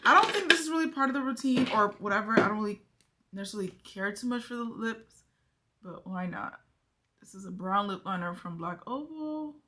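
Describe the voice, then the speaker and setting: Bright-voiced chatty woman vlogger. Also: medium pitch, lively intonation, fairly quick, a little hiss or hum. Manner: chatty, thinks aloud, addresses viewers as y'all. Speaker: beauty vlogger. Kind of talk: casual makeup tutorial chat.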